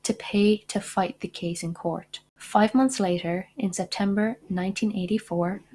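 A woman's voice: medium pitch, measured pace, dark tone, breathy edge, low-quality recording. A young woman narrating a true-crime podcast from a script, breaking off into indignant asides. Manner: indignant asides between read facts. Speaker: podcast host.

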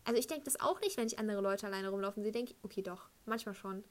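A woman's voice: medium pitch, fast. A woman in her late teens chatting casually in a rambling, giggly, self-deprecating way, with youthful slang. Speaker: high school student sharing anecdotes. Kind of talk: casual, rambling personal chat.

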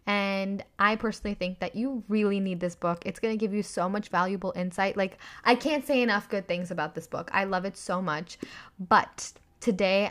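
Young woman, slightly raspy podcast host. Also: lowish voice, fairly bright tone. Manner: enthusiastic, chatty, lots of fillers. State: slightly raspy voice after screaming. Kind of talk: casual solo podcast book review.

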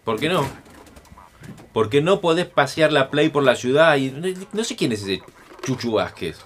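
Un actor con acento rioplatense interpreta a un padre y marido de mediana edad, seco, coloquial y sarcástico, que se pone fácilmente a la defensiva. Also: male voice, medium pitch, animated, normal speed, a little hiss or hum, close to an everyday voice. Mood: sardonic. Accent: Rioplatense Argentine. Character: sardonic, defensive father and husband, quick to bristle. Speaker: actor in an audio fiction. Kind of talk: naturalistic audio drama acting.

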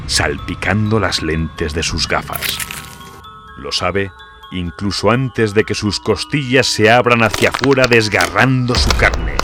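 A man narrating a horror audiobook in a dramatic, scripted storytelling style. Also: on the low side. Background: music.

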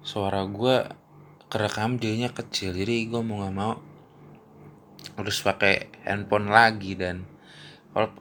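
Brief: young male podcast host, low and bright, loose rambling chat into a phone mic. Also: flat delivery, measured pace, long pauses, a little hiss or hum. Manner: slangy, rambling, laughs often. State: has a mouth ulcer. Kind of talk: casual solo podcast chat.

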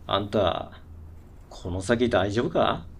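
An actor playing a cocky, condescending self-styled veteran who talks in brusque hard-boiled slang in a radio drama. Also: male voice, lowish voice, expressive, slow, breathy edge, full character voice. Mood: gruff, instructive. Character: cocky self-styled veteran antidepressant user talking like gunman. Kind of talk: Japanese radio drama dialogue.

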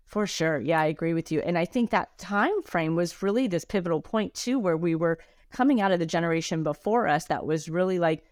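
A woman's voice: on the low side, lively intonation, fairly quick, hardly any pauses, no echo. Affirming female podcast host. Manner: affirming, frequent agreeing backchannels. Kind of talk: interview conversation.

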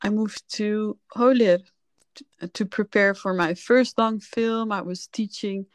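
A woman in her thirties from the Netherlands talks as a podcast guest, candid and reflective with soft laughs. Her voice is smooth and resonant, her pace slow and unhurried, and the sound is dry and close. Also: medium pitch, steady tone, dry recording. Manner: candid, reflective, laughs softly while telling her story. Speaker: filmmaker guest. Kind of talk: casual podcast conversation.

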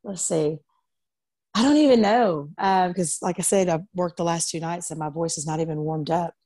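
Chatty woman, low and dry. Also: normal speed, mellow, no room sound. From Arkansas. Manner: chatty, many fillers and restarts. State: slightly raspy from singing two nights. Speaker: country singer guest. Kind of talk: casual interview over video call. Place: in bed at home.

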